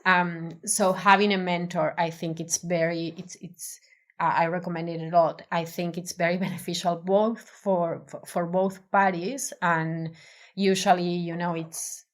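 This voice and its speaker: Spanish-accented woman, low-pitched, hesitant. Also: little pitch movement, unhurried. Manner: hesitant, many restarts and fillers, thoughtful. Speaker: design professional, podcast guest. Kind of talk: casual interview conversation.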